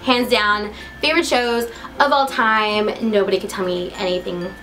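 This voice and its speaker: A woman's voice: mid-range voice, fairly even intonation, moderate pace, sharp tone, soft background music, rough recording. A young woman with an American accent chatting casually to camera as a vlogger, in a giggly, upbeat and talkative manner. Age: young adult. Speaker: vlogger introducing herself.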